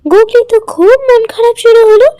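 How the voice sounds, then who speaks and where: A woman's voice: extremely high pitch, brisk, dark tone, dry recording. A woman narrating a scripted children's story.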